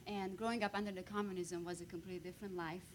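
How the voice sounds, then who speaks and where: A woman with a Hungarian accent tells her story at a quick clip. Her voice sits a little low and she leans hard on key words. A faint low rumble runs under her. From Romania. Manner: lively storytelling, bursts of emphasis. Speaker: guest speaker. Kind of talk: personal testimony on stage.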